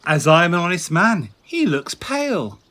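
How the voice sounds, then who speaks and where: An actor playing an urbane, commanding and teasing prince in a Shakespearean radio play, with theatrical, playful emphasis. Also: male voice, sits high, wildly swooping pitch, normal speed, bright, forceful tone, full character voice. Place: home recording.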